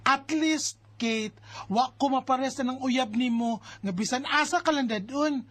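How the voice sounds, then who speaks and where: A woman acting a bossy, concerned married elder sister in a Bisaya radio drama, with an expressive, nagging and exasperated delivery. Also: high voice, measured pace. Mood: defensive, scolding. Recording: music bed under the voice. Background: background music.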